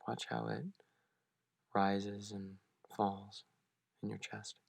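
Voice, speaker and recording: Low, creaky-voiced man guiding meditation. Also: very animated, no echo. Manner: gentle, unhurried, with long pauses. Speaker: meditation guide. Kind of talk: guided meditation for beginners.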